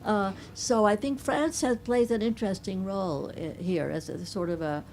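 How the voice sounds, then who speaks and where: A woman's voice: mid-range voice, moderate pace, darkish tone, slight background noise. Older woman author with slight Hungarian accent. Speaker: author and professor giving a book talk. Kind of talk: audience question-and-answer at a book reading. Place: bookstore event.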